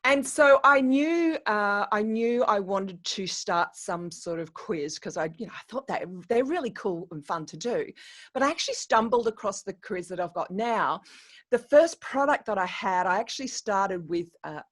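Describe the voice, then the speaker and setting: A middle-aged woman from Australia, a coach and educator, telling her story as a podcast guest in a chatty, animated way with many restarts and fillers. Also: mid-range voice, moderate pace. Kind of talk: podcast interview.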